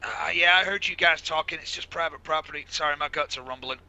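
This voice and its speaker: Bright, sharp-edged man playing a crude guard. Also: a little high, quick pace, muffled recording, a little hiss or hum. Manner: vulgar, groaning, complaining. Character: crude, queasy male security guard. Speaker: player voicing a security guard. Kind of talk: improvised online roleplay.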